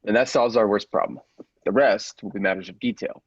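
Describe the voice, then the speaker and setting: A man playing a grave, persuasive scientist's assistant in a radio play, deliberate and theatrical, with secretive zeal. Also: fairly low pitch, breathy edge, no room sound, highs cut off. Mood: businesslike, explanatory. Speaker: podcast actor.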